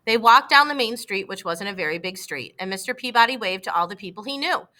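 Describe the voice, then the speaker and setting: Bright-voiced woman reading a children's story. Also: medium pitch, animated, fast. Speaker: storybook reader. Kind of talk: read-aloud children's story narration.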